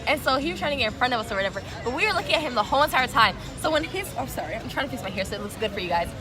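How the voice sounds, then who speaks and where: Animated, playful young woman, crowd noise behind. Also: fairly high pitch, racing, music in the background. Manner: playful, teasing, animated storyteller. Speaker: vlogger's friend. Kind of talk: casual joking chat. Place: outdoors at night in a city.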